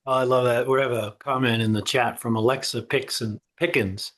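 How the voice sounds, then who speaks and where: A man's voice: medium pitch, moderate pace. Easygoing male panel host. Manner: relaxed, asks questions with fillers. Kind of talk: hosting an online panel.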